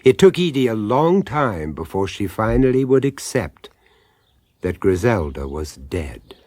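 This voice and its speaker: A man narrating a radio horror drama in a measured, grave storytelling manner. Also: fairly low pitch, big pitch swings, darkish tone.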